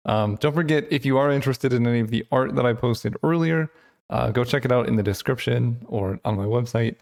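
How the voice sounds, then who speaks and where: A man hosting his channel, thinking aloud in casual gameplay commentary with lots of fillers, his voice getting hoarse. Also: mid-range voice, fairly quick, darkish tone. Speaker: channel host.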